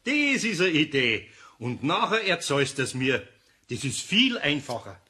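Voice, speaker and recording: A man's voice: a little high, very animated, a little slow, sharp tone, heavily acted. A man playing a grumbly but good-natured older master craftsman in a children's radio play, speaking with a folksy Bavarian accent. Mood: exasperated.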